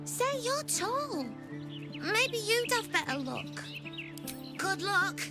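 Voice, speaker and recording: Little game child: extremely high, slow, plaintive, loud music behind. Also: female voice, sing-song, heavily acted. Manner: childlike, plaintive, simple phrasing. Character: small plaintive child fretting over a dog. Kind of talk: video game dialogue.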